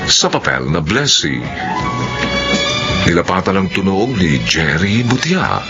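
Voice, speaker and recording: A man's voice: low voice, very animated, a little slow. A man with a Filipino accent making a formal, scripted radio drama announcement. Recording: dull radio sound under loud music.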